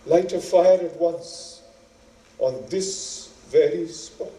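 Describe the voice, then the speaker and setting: A man's voice: on the high side, slow pace, warm tone, echoey room, far from the mic, voice pushed up, rough recording. A man playing a proud, wounded noble wife from an epic, speaking formally with indignant, pleading deliberation. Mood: commanding.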